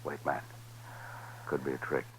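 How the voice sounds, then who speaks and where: An actor playing a world-weary, tough frontier gunfighter with gruff, folksy phrasing in a 1950s radio western. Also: male voice, rumbling low, little pitch movement, a little slow, fairly bright tone. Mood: grim, bitter. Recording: humming, noisy 1950s radio recording.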